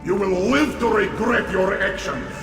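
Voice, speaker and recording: An actor playing a gloating Soviet spymaster in a video game, menacing and deliberate. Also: male voice, high voice. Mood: cold menace. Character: gloating Soviet spymaster villain revealing his betrayal. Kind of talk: theatrical video game villain acting. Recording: echoey hall, loud music behind.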